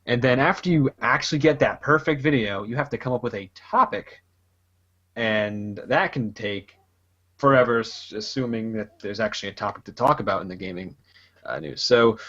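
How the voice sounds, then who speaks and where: A man's voice: mid-range voice, moderate pace, a bit bright, dull audio. A man casually chatting as a podcast host, rambling loosely and laughing often. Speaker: podcast host and gaming YouTuber. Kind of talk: casual chat.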